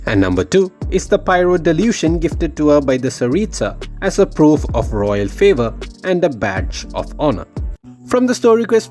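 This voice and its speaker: Male lore-video narrator, mid-pitched, very lively and dramatic delivery, music underneath. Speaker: video game lore YouTuber. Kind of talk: scripted narration of game lore theory.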